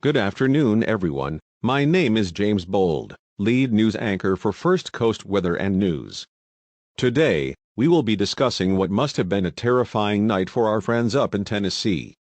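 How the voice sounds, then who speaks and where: A man's voice: lowish voice, sing-song, moderate pace, darkish tone, no echo. A man playing a polite, serious lead news anchor, delivering a scripted newscast with formal presenter phrasing. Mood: composed, serious.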